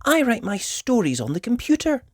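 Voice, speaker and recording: A woman's voice: fairly high pitch, big pitch swings, normal speed, no room sound, clean studio sound, voice pushed up. A woman voicing a reassuring, encouraging mother pig in a children's storybook.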